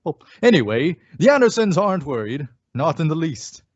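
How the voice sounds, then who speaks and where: A wry, theatrical radio announcer, played by a man, with a fairly high voice, narrates in old-time style. The sound is dry and a little dull. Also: very animated, moderate pace, no echo. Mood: wry, dramatic. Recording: dull lo-fi recording.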